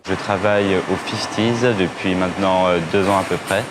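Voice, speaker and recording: Man, twenty, monotone, lowish voice, casual testimonial, clear background noise. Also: normal speed. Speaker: programme participant. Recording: noticeable ambient hum and noise.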